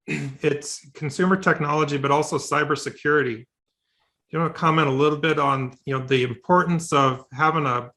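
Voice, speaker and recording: A man's voice: fairly high pitch, creaky, pushed and bright. A man moderating a panel discussion, inviting each guest to comment in turn. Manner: inviting, asks questions.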